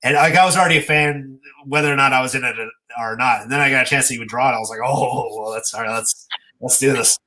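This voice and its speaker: A man's voice: medium pitch, fast, pushed and bright, poor audio. A man chatting casually as an interviewed artist, telling rambling stories and acting out remembered dialogue. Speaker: comic book artist being interviewed. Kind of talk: casual chat.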